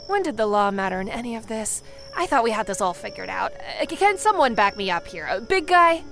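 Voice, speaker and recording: Vengeful monster heroine, highish lively voice, sarcastic, hot-headed, emphatic, radio drama. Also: female voice, brisk, gravelly, soft background music, full character voice. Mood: exasperated. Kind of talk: radio drama acting with narration.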